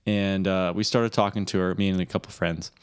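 A young man with a low voice and a Midwestern American accent co-hosts a podcast. He talks informally, wandering through his stories in a loose, conversational way. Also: steady tone, fairly quick, clear, resonant tone. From Michigan, US Midwest. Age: early 20s. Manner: rambling, informal storytelling. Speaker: podcast co-host. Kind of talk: casual conversation.

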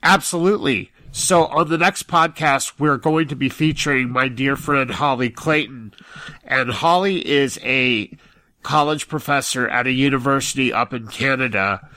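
A man co-hosting a podcast, speaking in a measured, somewhat formal way. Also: mid-range voice, bright, forceful tone, dry recording. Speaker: podcast co-host. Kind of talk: conversational podcast announcement.

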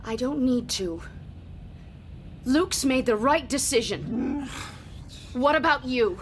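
An actress in a radio drama playing a composed, resolute young rebel princess with a measured, earnest manner. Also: female voice, high voice, lively intonation, slight background noise.

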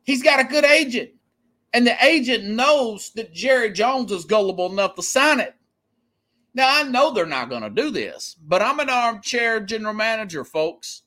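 A man's voice: very high voice, big pitch swings, normal speed, sharp tone. A man hosting a sports podcast, giving an opinionated, conversational monologue and repeating phrases for emphasis.

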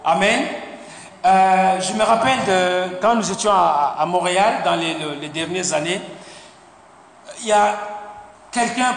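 A man preaching a sermon, halting and repeating words, punctuating points with amen. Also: high voice, fairly bright tone. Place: church sanctuary. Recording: echoey hall with faint noise.

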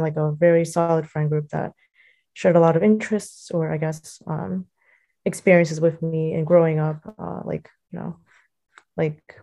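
Young american college girl getting interviewed, mellow n kinda fry voice, says like and you know a lot. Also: on the low side. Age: young adult. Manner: hesitant, loosely phrased, heavy use of like and you know. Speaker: interview guest, college student. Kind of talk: casual reflective interview answers.

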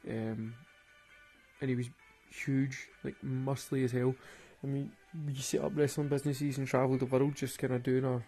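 A man's voice: mid-range voice, flat delivery, normal speed, mellow, breathy edge, faint music behind, rough recording. A man with a Glaswegian Scottish accent, rambling and swearing through casual stories and laughing at his own jokes. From Glasgow, Scotland. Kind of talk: casual storytelling monologue.

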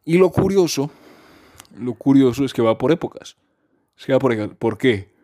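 A man's voice: medium pitch, animated, normal speed, dark tone. A man, talking casually and at length about music, rambling with many restarts and fillers. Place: home during a power cut.

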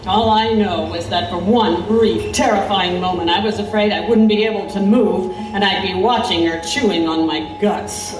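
A woman's voice: medium pitch, background noise, lightly acted. A woman playing an intrepid reporter who recounts her adventure in wry, vivid audio drama narration. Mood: wry, satisfied. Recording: roomy, slightly distant over music.

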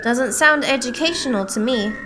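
High-voiced harried suburban mom. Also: female voice, normal speed, bright, forceful tone, slight background noise, rough recording. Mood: exasperated. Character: harried suburban mother, wry and chatty. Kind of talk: radio play acting.

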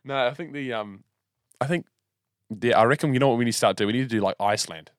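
A young New man chatting loosely on a podcast in a middle-pitched voice. He rambles, swears and laughs, often trailing off and starting again. The recording is close and dry. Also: quick pace, dry recording. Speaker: podcast co-host. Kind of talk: casual banter.

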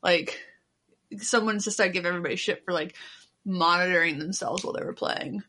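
A woman chatting casually and informally, with animated, mocking asides. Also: lowish voice, fairly quick. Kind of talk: casual chat.

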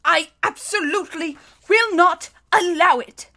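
A flustered middle-aged banker from a storybook, played by a woman. He blusters indignantly in a high, forceful voice with heavy emphasis. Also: animated, slow pace, heavily acted. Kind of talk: audiobook character voice.

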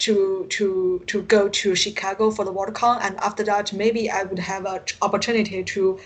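Chinese-accented woman, halting and searching for words. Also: mid-range voice, little pitch movement, breathy edge. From China. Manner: halting second-language English, searching for words, often chuckling. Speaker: interview guest, science fiction writer and translator. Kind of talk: casual interview storytelling. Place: remote internet call.

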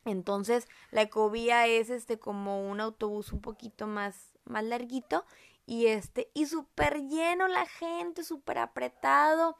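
A woman's voice: on the high side, steady tone, measured pace, vocal fry, smooth. A woman from Monterrey with a Monterrey Mexican accent, hosting a casual podcast chat in a chatty, rambling way, fond of diminutives. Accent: Monterrey Mexican Spanish. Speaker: podcast host.

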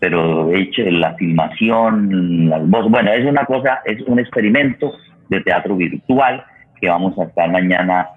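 A very low-voiced Colombian man hosts a radio programme with a rough, husky tone. He makes announcements off the cuff, halting and repeating himself as he looks for words. The sound is narrow, as if over a phone line. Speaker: radio theatre programme host. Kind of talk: unscripted sign-off and announcements. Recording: muffled, phone-like radio connection.

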